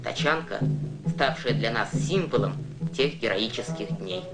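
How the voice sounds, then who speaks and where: Animated narrator for a kids science newsreel, storytelling style, loud music behind. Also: mid-range voice, a little slow. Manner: storytelling, addresses young viewers with questions. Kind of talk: scripted educational documentary narration. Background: prominent music.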